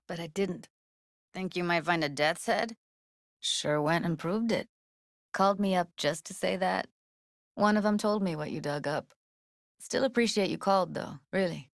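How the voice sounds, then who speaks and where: A woman's voice: on the low side, dry recording, studio quality, close to an everyday voice. A woman voicing a streetwise, guarded young techie in a video game, blunt and slangy, with an American accent. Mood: guarded, sardonic. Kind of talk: naturalistic video game dialogue.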